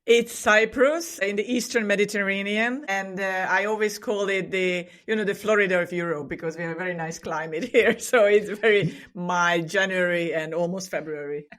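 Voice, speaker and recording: A woman's voice: medium pitch, steady tone, moderate pace, few pauses, fairly bright tone. A woman with a Hungarian accent, a native Hungarian speaker, chatting cheerfully as a podcast guest and laughing often. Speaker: podcast guest, booking agency co-owner. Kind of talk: casual interview chat. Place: home in Cyprus.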